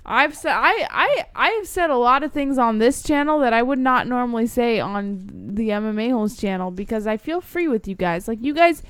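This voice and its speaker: Female call-in host, high smooth voice, dry close sound, blunt and earnest. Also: lively intonation, normal speed, hardly any pauses, fairly bright tone, dry recording. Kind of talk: casual, candid chat.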